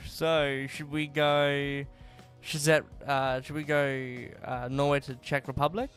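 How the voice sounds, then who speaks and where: Rambling Australian man streaming, slightly high voice. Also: level tone, slow pace, a bit bright, faint music behind. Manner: rambling, self-deprecating, trails off and restarts. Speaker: game streamer. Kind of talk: casual live-stream chat while driving.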